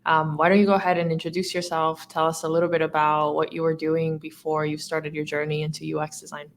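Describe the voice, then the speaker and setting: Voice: medium pitch, completely flat delivery, fast talker. Upbeat interview host, faint noise. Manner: upbeat, encouraging, with fillers. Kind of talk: casual interview.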